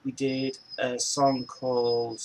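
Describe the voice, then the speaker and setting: A man's voice: medium pitch, little pitch movement, takes it slowly, darkish tone, a little hiss or hum, poor audio. An Englishman in his forties hosting a casual chat show from home, talking in a loose, meandering way that circles back and repeats itself. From England. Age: 40s. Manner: loose, meandering, repeats himself and restarts often. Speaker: show host.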